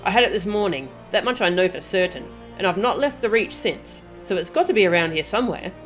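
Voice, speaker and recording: A woman's voice: mid-range voice, lively intonation, fast, soft background music, natural voice. A woman voicing a flustered, blustery male resistance fighter in a game, agitated and emphatic. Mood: flustered, frustrated. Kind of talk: reading game dialogue in character.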